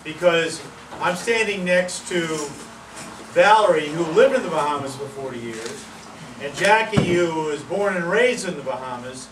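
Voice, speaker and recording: A man's voice: a little high, animated, moderate pace. Man chatting at an outdoor talk, far off. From Maine. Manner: chatty, many fillers. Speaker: presenter. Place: outdoor pavilion among palm trees. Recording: roomy, distant, noisy outdoor sound.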